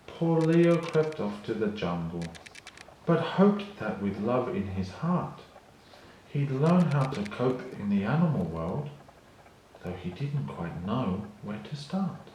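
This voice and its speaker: A man storyteller giving an expressive children's read-along narration. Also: medium pitch, slow. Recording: very echoey room, faint noise.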